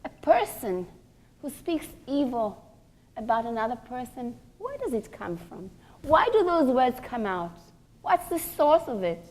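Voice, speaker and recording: A woman teaching a religious class in a deliberate, emphatic way, pausing between short phrases and posing rhetorical questions. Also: on the high side, sing-song, darkish tone.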